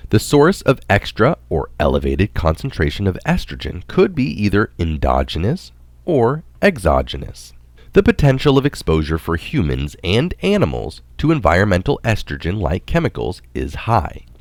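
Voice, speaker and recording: A man narrating a scientific article aloud in a deliberate, explanatory manner. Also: sits low, no echo. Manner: deliberate, marks quotations aloud.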